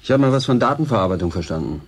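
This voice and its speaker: Brief: laconic male newcomer, lowish voice, casual but guarded, for radio drama. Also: lively intonation, racing, slightly rough. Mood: hesitant. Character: easygoing, slightly guarded male newcomer looking for work. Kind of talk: German radio drama acting.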